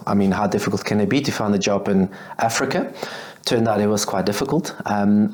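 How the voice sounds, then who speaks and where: A man's voice: on the low side, darkish tone. A man in his thirties, a guest on a podcast, chatting casually about his beekeeping business and acting out old conversations with lots of fillers. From Belgium. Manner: chatty, acts out past conversations with many fillers. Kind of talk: casual interview storytelling.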